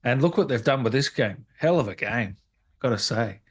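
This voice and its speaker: A man's voice: medium pitch, animated, normal speed, husky. Informal male gaming interview host. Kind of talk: casual gaming interview chat.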